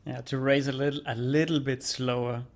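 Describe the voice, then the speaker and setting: A man with a German accent hosting a podcast interview, asking slow, hesitant questions and laughing often. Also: mid-range voice, flat delivery. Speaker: podcast host. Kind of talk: interviewing, casual chat.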